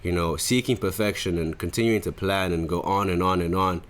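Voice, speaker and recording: A man's voice: low-pitched, fairly even intonation, fairly quick, fairly bright tone. A man, hosting a podcast in a casual, rambling, slangy way. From Zimbabwe. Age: 20s. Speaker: podcast host. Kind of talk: casual chat.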